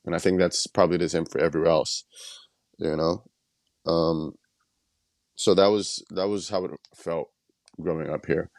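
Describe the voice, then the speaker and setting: A man's voice: sits low, steady tone, moderate pace, vocal fry, long pauses, a little mellow, dry recording. A man from Nigeria, a guest on a podcast, answering questions in a reflective way with many "you know"s and "um"s. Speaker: guest artist. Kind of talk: relaxed interview answers.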